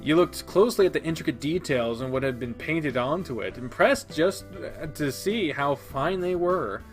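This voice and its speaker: Male let's-play reader, steady second-person narration, faint guitar behind. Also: fairly high pitch, moderate pace. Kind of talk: reading a visual novel aloud.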